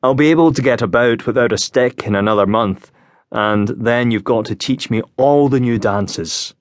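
A male narrator plays a frail young gentleman officer from a novel. He is wistful, courteous and gravely earnest. The sound is close and dry. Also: mid-range voice, moderate pace, dry recording, close to an everyday voice. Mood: courteous, wry.

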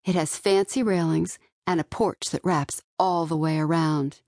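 A woman narrates a young-adult audiobook in the first person. Her voice sits fairly low. The recording is dry, with very little room sound. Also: steady tone, unhurried, darkish tone, no echo. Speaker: author narrating own audiobook. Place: dry studio.

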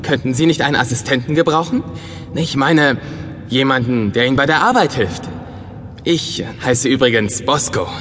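A man playing an eager, bookish, curious robot in a science fiction audio drama, excitable, earnest and polite. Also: mid-range voice, normal speed, close to an everyday voice. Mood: hopeful, polite. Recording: long reverberant hall sound.

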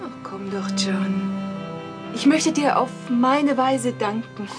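Verführerische junge Frau mit hoher Stimme. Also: female voice, on the high side, takes it slowly, music in the background. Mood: seductive. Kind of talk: German audio horror drama acting.

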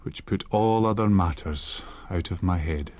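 Earnest young inn lad. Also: male voice, low-pitched, unhurried, darkish tone. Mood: reflective. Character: earnest, polite young innkeeper's lad, anxious but plucky. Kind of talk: radio drama acting and storybook narration.

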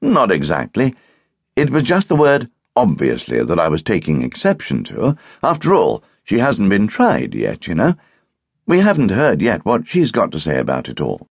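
A man's voice: on the low side, huge pitch swings, normal speed, vocal fry, slightly rough, no echo, studio quality, pitched above the performer's own voice, heavily acted. A man voicing a witty, teasing English houseguest with a pompous, mock-wounded manner, as in an audiobook. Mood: argumentative.

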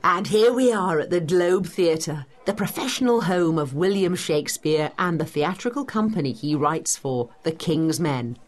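An actress playing a knowledgeable, brisk woman guiding a listener through history in a dramatised educational audio guide, with an explanatory, teacherly manner. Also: on the low side, animated, soft background music, natural voice.

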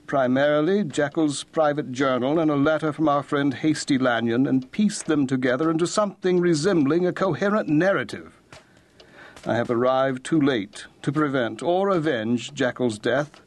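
An actor playing a sober, loyal Victorian attorney who narrates a grim account in a formal, measured, grave manner for an audio drama. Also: male voice, fairly high pitch, natural voice. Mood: grave, resolute. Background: music.

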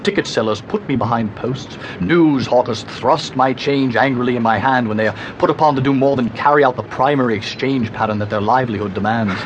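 An actor playing a pompous, self-pitying older poet in a comic radio play, with a florid, grandiloquent delivery. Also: male voice, mid-range voice, brisk, hardly any pauses, highs cut off, natural voice. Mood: grandly self-dramatizing. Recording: noisy original workshop recording.

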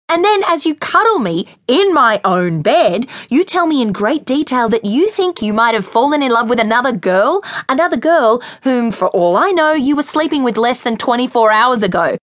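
A woman plays a young woman in a fury, her voice pitched up high and sharp with contempt. She bites off her words with sarcasm. The sound is dry and close. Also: no echo. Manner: sarcastic, then cold and cutting. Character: furious young woman betrayed by friend.

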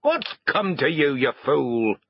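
A man's voice: medium pitch, lively intonation, a little slow, husky, dry recording, highs cut off. A man voicing a grumpy, blustering farmer who scolds in rustic phrasing, for a storybook reading. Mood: angry scolding.

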